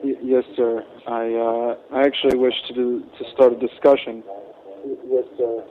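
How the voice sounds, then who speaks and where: A man phoning in to a live show, polite and halting, stammering and repeating himself. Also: mid-range voice, level tone. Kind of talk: phone-in discussion. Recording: tinny phone line, noisy babble.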